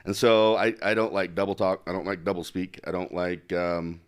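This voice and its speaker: A man's voice: low voice, level tone, moderate pace, smooth. A middle-aged man from Utah, a candidate for office, talking bluntly in a casual interview with many fillers. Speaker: congressional candidate.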